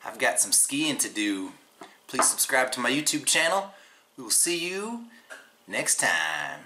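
A man's voice: mid-range voice, very animated, a little slow, sharp tone, a little hiss or hum. A man in his forties chatting casually in a how-to vlog, upbeat and full of ums, recorded by a camera across a desk in a small room. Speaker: vlogger.

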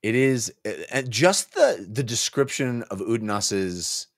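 A man's voice: mid-range voice, big pitch swings, measured pace, dry recording. A man in his forties co-hosting a book club podcast, talking casually and emphatically into a close mic. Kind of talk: casual animated book discussion. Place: small home office, indoors. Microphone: close podcast mic on boom arm.

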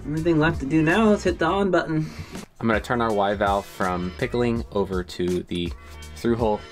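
A man in his thirties giving a relaxed step-by-step how-to explanation inside a small sailboat cabin. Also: medium pitch, normal speed. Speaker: sailing vlogger. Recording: background music over voice.

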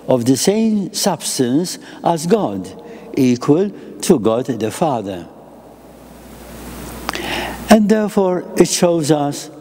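An elderly priest delivers a sermon from a lectern in a chapel, with slow, deliberate phrasing and a melodic rise and fall. His voice is mellow and somewhat high for a man, and a long church reverb trails behind it. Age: older. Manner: slow, deliberate phrasing in short measured pieces. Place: chapel with an icon and a lectern, indoors. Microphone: lectern microphone.

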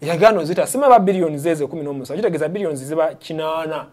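A man's voice: on the high side, very fast, a little mellow. A man co-hosting a sports talk show, speaking animatedly and mixing in English terms. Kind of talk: casual studio discussion.

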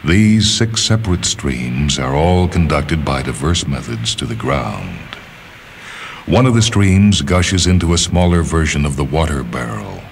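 A man reading a literary story as scripted narration, in a measured, deliberate manner, phrase by phrase. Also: rumbling low, lively intonation, vocal fry, a little hiss or hum. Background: music.

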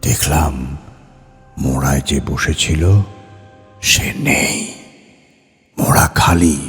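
A man narrating a ghost story dramatically and suspensefully, with deliberate pauses and repeated words. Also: very deep voice, a little mellow. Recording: long hall reverb, music behind.

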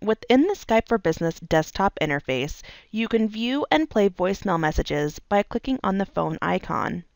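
A woman narrates a software tutorial from a script. Her delivery is measured and methodical, going step by step. Her mid-pitched voice has a clear fry to it, recorded very dry with no room sound. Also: sing-song, no echo.

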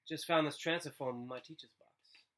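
Male reader as storybook schoolmistress: bustling, chirpy, brisk announcements, bright tone. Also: fairly high pitch, a little hiss or hum, natural voice. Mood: dismissive. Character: bustling, chirpy female sixth-grade teacher.

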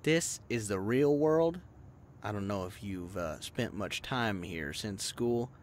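A man's voice: fairly low pitch, animated, slow, slight background noise. A man playing a hot-tempered young small-town thug in an audio drama, taunting in coarse slang. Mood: menacing. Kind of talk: audio roleplay acting.

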